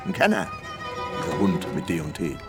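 Eccentric old gentleman, wildly swooping voice. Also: low voice, sing-song, normal speed, loud music behind the voice. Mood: musing, suspicious. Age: older. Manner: theatrical, musing, swinging from exclamations to murmurs. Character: eccentric old privy councillor and poet, grand and playful. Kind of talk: German radio play acting.

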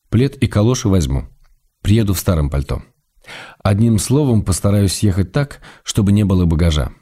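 An actor playing a fond, gently chiding middle-aged writer, reading intimate letters to his wife in measured tones for a radio play. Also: lowish voice, breathy edge.

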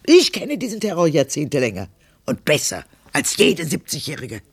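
Radio play: fussy ancient woman, low gravelly voice, dramatic swoops, grumbling and haunted by death. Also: wildly swooping pitch, normal speed, no echo, heavily acted. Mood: dread, defiant. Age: older. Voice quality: gravelly, husky. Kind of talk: literary radio drama monologue.

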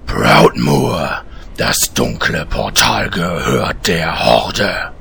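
An actor playing a gruff, pompous, threatening orc warlord in a parody fantasy audio drama. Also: male voice, extremely low pitch, very animated, bright, forceful tone, slight background noise, heavily acted. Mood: menacing. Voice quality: gravelly. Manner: pompous, menacing, slow.

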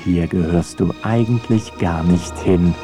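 A man's voice: low voice, measured pace, mellow, soft background music. A man reading a scripted radio feature in a wry, pointed, mocking way. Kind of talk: scripted narration, ironic essay.

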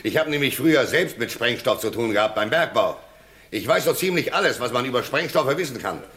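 An actor playing a gruff, domineering prison warden in a radio crime play, curt, commanding and scornfully disbelieving. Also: male voice, medium pitch, lively intonation, a little fast, fairly bright tone.

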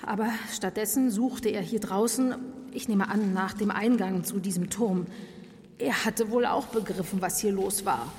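A woman's voice: mid-range voice, moderate pace, a little mellow, a little hiss or hum, natural voice. A woman playing a henchwoman in a radio drama. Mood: matter-of-fact. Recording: reverberant space, faint music behind.

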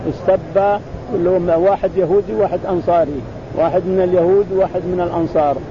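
A man explains a religious text in spoken commentary. His voice sits high and he talks quickly, often repeating and restarting phrases. The recording is muffled, with a loud, constant rumble behind him. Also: steady tone. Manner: repeats phrases, restarts words, acts out quoted exchanges. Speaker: teacher explaining hadith.

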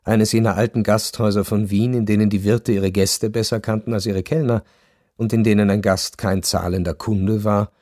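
Melancholy man narrating over music. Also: fairly low pitch. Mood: melancholy, estranged. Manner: measured, reflective, wry. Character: melancholy, estranged war-returned husband narrating his life. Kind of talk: literary radio drama narration.

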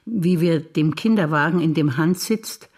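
A woman's voice: deep voice, a little slow, husky. Woman actor evenly reciting memories. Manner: plain, even recitation. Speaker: stage actor. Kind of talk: theatre performance of spoken memories.

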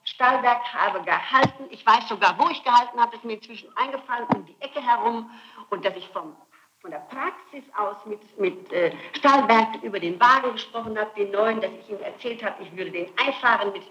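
A middle-aged woman with a fairly high, sharp and forceful voice gives testimony under questioning. She denies things emphatically and repeats her words. The sound is an old interrogation tape with some noise. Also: moderate pace, dull audio. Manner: insistent, emphatic denials with repetitions. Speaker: suspect being questioned by police and prosecutors. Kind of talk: interrogation testimony, spontaneous speech. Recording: noisy archival interrogation tape.

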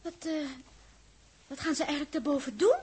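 An actress playing a naive, flighty young woman aboard a spaceship in a 1960s radio drama, girlish and halting. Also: high voice, expressive, darkish tone. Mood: naive, unsure. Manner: hesitant, stammering, girlish.

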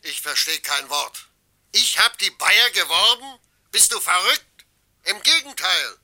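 Radio play: indignant foreman, high-pitched, piercing, blunt, always ready to argue. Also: very animated, measured pace, full character voice. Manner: blunt, protesting, sharp. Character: Rupprecht, indignant, combative works foreman. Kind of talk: German radio play acting.

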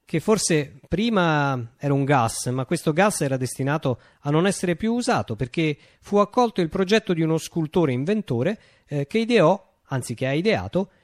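Radio theatre: male actor as self-important, whimsical male artist-leader. Highish pitch, sweeping intonation, declamatory pauses. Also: animated, no room sound, studio quality, natural voice. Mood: wry, storytelling. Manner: declamatory, with dramatic pauses and swings. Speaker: actor performing a radio monologue.

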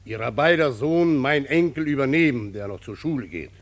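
An actor playing an old, plain-spoken peasant father in a radio play, with weighty, halting, declamatory phrasing. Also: medium pitch, expressive, lightly acted. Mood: solemn, decreeing. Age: older.

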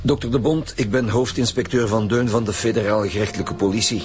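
Casting: male actor as Flemish chief inspector, low-pitched, businesslike and steady, radio drama. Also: fast talker, lightly acted. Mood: formal, probing. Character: steady male chief detective leading a murder squad. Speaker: actor in a radio police serial.

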